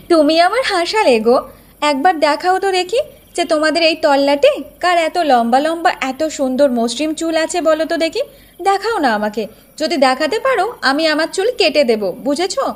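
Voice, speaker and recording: Woman acting a vain, high-voiced young village wife. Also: animated, moderate pace. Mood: indignant, scolding. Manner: boastful, scolding. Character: vain, haughty young village wife obsessed with her hair. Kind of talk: Bengali cartoon folktale acting.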